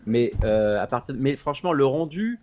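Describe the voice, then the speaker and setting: A man's voice: medium pitch, lively intonation, normal speed, a little mellow, slight background noise. A man, explaining and discussing casually as a panelist on a talk show.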